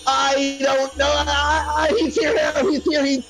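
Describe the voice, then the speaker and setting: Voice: sits high, flat delivery, unhurried, over music. A performer playing a flustered, dramatic psychic who rambles in repeated exclamations in a comic audio play. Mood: frantic, overwhelmed. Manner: breathless, rambling, repetitive exclamations. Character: flustered, dramatic celebrity psychic channeling spirits.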